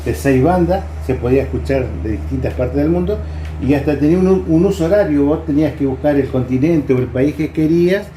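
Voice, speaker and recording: A man's voice: on the high side, brisk, dark tone. An older man with an Argentine accent from Entre Ríos, reminiscing in casual conversation. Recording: roomy echo, clear background noise.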